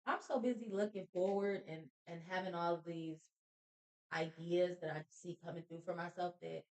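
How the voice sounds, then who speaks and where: A woman's voice: on the low side, steady tone, darkish tone, rough recording. A woman speaking as a guest in a casual faith talk, confident and emphatic. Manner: confident, emphatic, fast-talking.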